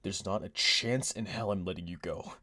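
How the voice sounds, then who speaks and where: A man playing an earnest, devoted, stubborn superhero in an ASMR roleplay, speaking haltingly with a breathy voice. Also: deep voice, pushed and bright. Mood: desperate, insistent. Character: anguished male superhero, stubborn and devoted to a sidekick. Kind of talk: ASMR audio roleplay drama.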